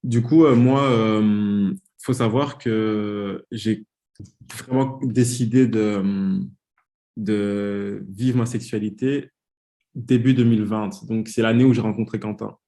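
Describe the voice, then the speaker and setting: Gravelly, flat-voiced young man. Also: lowish voice, slow. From Democratic Republic of the Congo. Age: 20s. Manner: hesitant, many fillers. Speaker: podcast guest. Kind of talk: casual interview talk.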